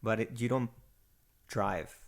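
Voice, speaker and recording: A man with a Mexican accent chats casually on a podcast about movies. His voice has a gravelly edge, and he speaks haltingly. He pokes fun at himself and often stops to look for the right word. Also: on the low side. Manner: self-deprecating, halting and searching for words. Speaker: podcast co-host. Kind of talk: casual chat.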